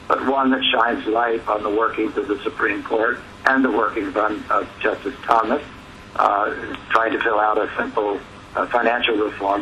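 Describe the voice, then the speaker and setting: A man speaking as an advocacy spokesman on a press teleconference, in a halting, measured manner. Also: fairly low pitch, bright, forceful tone. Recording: noisy conference phone line.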